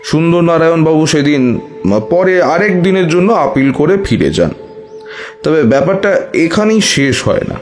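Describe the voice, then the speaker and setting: An actor playing a wise older tantric priest who recounts eerie memories in a measured way, with thoughtful hums, in an audio horror story. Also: male voice, fairly high pitch, animated, soft background music, close to an everyday voice. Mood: reminiscing, measured.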